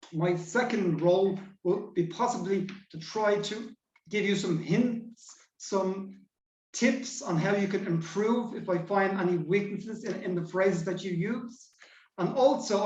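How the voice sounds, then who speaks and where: A man's voice: sits high, highs cut off. Irish guy on a video call, roomy and a bit far off, explaining his club role in a friendly way. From Ireland. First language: English. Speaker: grammarian at a speaking club. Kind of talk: club meeting role introduction.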